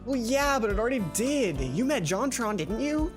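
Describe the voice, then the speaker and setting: A chatty, energetic teenage schoolgirl acted out by a game player reading aloud. The delivery is dramatic and informal, like a visual novel read for fun. Music plays clearly behind the voice. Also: mid-range voice, normal speed, pitched above the performer's own voice, lightly acted. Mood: chatty, pushy.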